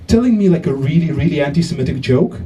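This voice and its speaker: A man from Estonia performing a stand-up comedy set, with a halting delivery full of fillers and restarts. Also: fairly high pitch, lively intonation, warm tone, recorded from a distance. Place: comedy club stage with live audience.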